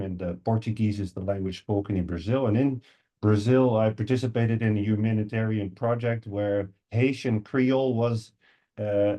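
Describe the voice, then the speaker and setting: Low, mellow Dutch-accented man. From Netherlands. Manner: hesitant, many ums and uhs. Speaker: guest English teacher. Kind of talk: podcast interview.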